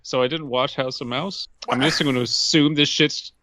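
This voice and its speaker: A man's voice: mid-range voice, steady tone, normal speed, pushed and bright, dry recording. A man co-hosting a comedy podcast, reading and bantering casually in a wry manner. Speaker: podcast co-host.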